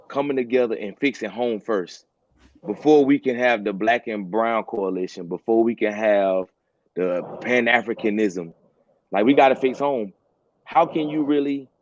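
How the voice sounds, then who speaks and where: A man's voice: medium pitch, a little mellow. A man co-hosting a casual talk show, earnest, repeating phrases to drive a point home. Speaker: podcast co-host. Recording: dull lo-fi sound with faint hiss.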